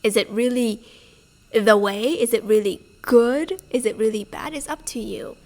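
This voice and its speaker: A woman's voice: fairly high pitch, normal speed. A woman talks informally to her viewers. Her delivery is conversational and emphatic, the style of a motivational video host. She leans on rhetorical questions and small asides as she goes. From Vietnam. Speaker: spiritual self-development video host. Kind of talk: informal motivational talk to camera.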